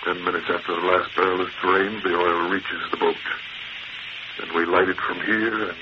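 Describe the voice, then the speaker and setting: A man's voice: sits low, level tone, normal speed, lightly acted. An actor playing a ruthless, scheming gang boss in an old-time radio drama, commanding and menacing as he gloats over his plans. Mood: impatient, commanding. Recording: muffled, noisy vintage radio recording.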